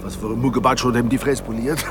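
An actor as a coarse, offhand soldier. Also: male voice, mid-range voice, steady tone, quick pace, noisy background, lightly acted. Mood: crude, curious. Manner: slangy, offhand. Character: coarse, unbothered soldier scavenging the dead. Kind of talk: radio drama acting. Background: music.

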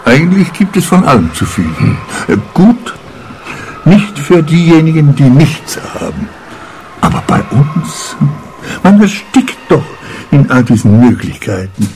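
A man's voice: on the high side, sing-song, measured pace, a little mellow, slight background noise, poor audio. An actor playing a gloomy, grumbling man who rambles philosophically in a radio play. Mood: agitated. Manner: rambling, grumbling, self-correcting.

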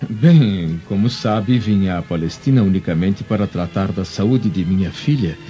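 A man's voice: sits low, a little slow, mellow. A Brazilian man playing a dignified, ambitious Roman senator in a theatrical radio drama. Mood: measured ambition. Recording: dull lo-fi audio with faint music.

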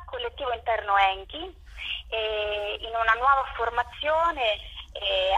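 A woman interviewed over the phone as a theatre director, explaining her work in a thoughtful, halting way with drawn-out pauses. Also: medium pitch, pushed and bright, slightly rough. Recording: muffled, band-limited phone line.

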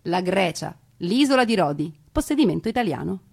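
A woman narrating a scripted stage story in a theatrical, deliberate way with conversational asides. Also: on the low side, big pitch swings, creaky, a little mellow, breathy edge. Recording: music behind a radio theatre performance.

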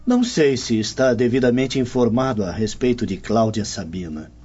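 An actor playing a worldly, affable Roman gentleman in a radio drama, courteous and theatrical as a confidant. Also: mid-range voice, expressive, moderate pace, lightly acted. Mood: confiding, cautionary. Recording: dull audio over music bed.

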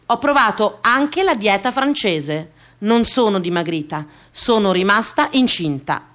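A woman's voice: medium pitch, a bit bright. A woman performing a comic confessional theatre monologue in a wry, conversational way.

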